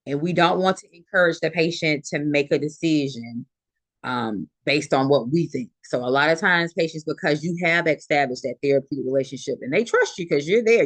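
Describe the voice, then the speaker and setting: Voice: mid-range voice, no echo. A nursing instructor giving a recorded classroom lecture in a conversational way, restating each point and using many fillers.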